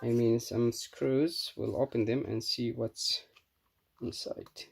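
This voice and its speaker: A man with a medium-pitched voice full of fry talks through a hands-on review. His delivery is husky and unpolished, halting as he goes. He keeps it casual and matter-of-fact. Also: fairly even intonation. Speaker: product reviewer.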